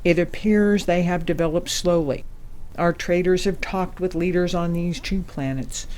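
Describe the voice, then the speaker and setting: Woman doing a calm lady ambassador in a space audio drama, formal and in charge, measured delivery. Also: fairly low pitch, lightly acted, low-quality recording. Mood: formal, assured. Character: composed female ambassador leading a secret space mission. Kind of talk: audio drama acting, briefing a crew.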